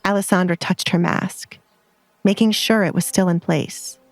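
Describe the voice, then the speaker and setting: A woman's voice: on the low side, fairly even intonation, measured pace, dry recording. A woman narrating a scripted audio drama as a storyteller.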